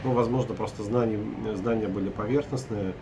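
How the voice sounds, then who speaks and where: Russian broker, 40s, lowish voice, halting and filler-heavy, hotel-room webcam recording with audible hum. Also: male voice, steady tone. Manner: hesitant, many filler sounds and restarts. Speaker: property broker. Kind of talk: casual recap to camera. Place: hotel room at night, window behind.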